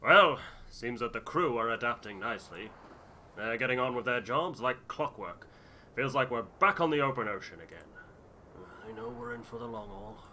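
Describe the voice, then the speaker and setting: A man voicing a hearty, talkative sailor in a game, with a booming, teasing manner. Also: animated, moderate pace, sharp tone, a little hiss or hum. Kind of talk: voiced game dialogue.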